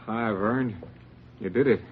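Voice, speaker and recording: An actor playing an anxious, doubting prisoner who talks in slang, in an old-time radio crime drama. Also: male voice, lowish voice, slow pace, slight background noise. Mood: incredulous. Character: bomber's uneasy, doubting brother, a prisoner. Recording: tinny, distant-sounding vintage radio recording.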